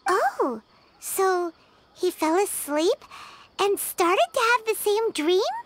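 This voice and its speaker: A woman voicing a tiny, excitable floating fairy companion in a video game, squeaky, childlike and chatty. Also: slow pace, a little hiss or hum, full character voice. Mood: puzzled. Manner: speaks of self in third person, exclamatory.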